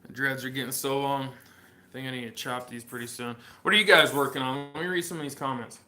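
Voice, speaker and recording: A man with a stuffy, congested voice hosts a casual morning livestream from his workshop. His tone is very bright and sharp, sitting at an ordinary middle pitch. He rambles easily, full of fillers and slang. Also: fairly even intonation, a little fast, soft background music. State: congested and coughing. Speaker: host of an RC hobby livestream. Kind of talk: casual livestream chat while showing a build. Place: home workshop or garage.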